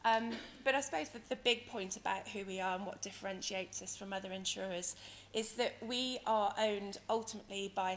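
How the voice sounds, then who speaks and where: A woman with a croaky, hoarse voice giving a conference presentation as a company director, explaining things in a hesitant, wordy way. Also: medium pitch. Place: conference venue in London, speaking to an audience. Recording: noisy conference hall ambience.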